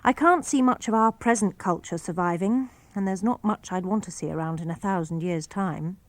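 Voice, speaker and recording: A woman reading a daughter's letters aloud for a radio broadcast, in an even, clear and composed manner. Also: mid-range voice, moderate pace, no room sound. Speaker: reader of letters.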